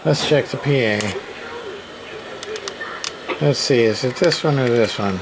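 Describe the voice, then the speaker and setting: A man talks slowly through a hands-on repair at an indoor workbench. His delivery is halting and casual, muttered as if to himself, at an ordinary middle pitch. The camera-mic recording carries clear background noise. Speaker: radio repairman and video host.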